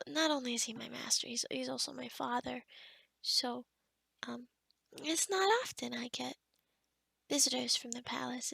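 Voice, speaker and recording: A woman playing a kind, awkward young forest witch in an ASMR roleplay, speaking softly and hesitantly, often close to a whisper. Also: sits high, creaky, slightly rough, no room sound, full character voice. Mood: friendly, hesitant. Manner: hesitant, rambling, softly spoken with whispery stretches. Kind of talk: ASMR roleplay addressed to the listener.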